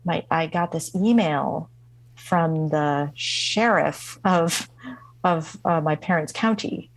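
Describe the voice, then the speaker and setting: A woman guest recounts a personal story in a slow, reflective way, pausing often and restarting her sentences. Her voice sits a little low for a woman, and it is recorded dry, with no room sound. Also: no echo, rough recording. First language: not English. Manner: halting, many fillers and restarts. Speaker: guest musician.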